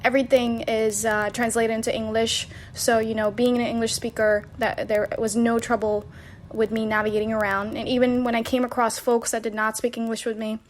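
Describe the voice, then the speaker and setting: A woman's voice: a little high, flat delivery, brisk, a little hiss or hum. A woman hosting a podcast, casually telling travel stories in a chatty, rambling way with frequent fillers and light laughs. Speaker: podcast host. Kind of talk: casual travel storytelling monologue.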